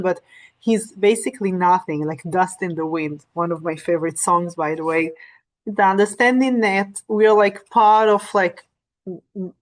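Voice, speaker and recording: A woman, in Hebrew and Russian, giving an informal online lesson, hesitant with many fillers and restarts. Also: on the low side. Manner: reads Hebrew passages quickly.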